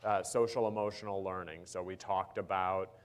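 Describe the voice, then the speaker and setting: A man with a low-pitched voice speaks at a conference panel. He explains policy in a measured way, often pausing on ums and uhs. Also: steady tone, smooth. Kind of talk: panel discussion answer.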